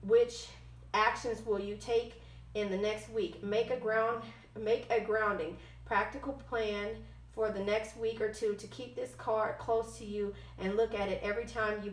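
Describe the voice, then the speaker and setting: A woman with a medium-pitched voice gives an informal oracle card reading in a roomy, echoing space. She moves between reading aloud and ad-libbing, haltingly, often tripping on a word and correcting herself. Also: level tone. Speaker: tarot card reader, host.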